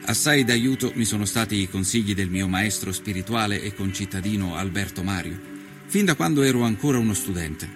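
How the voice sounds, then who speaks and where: A man performing an earnest nineteenth-century Italian journalist who recounts his travels in a measured, solemn, literary radio monologue. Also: fairly low pitch, lightly acted. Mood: reflective. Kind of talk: scripted dramatic narration, radio storytelling.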